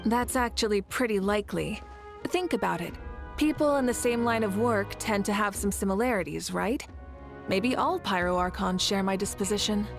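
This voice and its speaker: A woman plays a stately, self-assured female leader from a video game. Her voice sits at a middle pitch and she speaks with measured, formal composure. Faint music plays underneath. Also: vocal fry, natural voice. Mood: calm, assured. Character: confident, calm adult female nation leader, stately. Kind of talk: scripted video game dialogue acting.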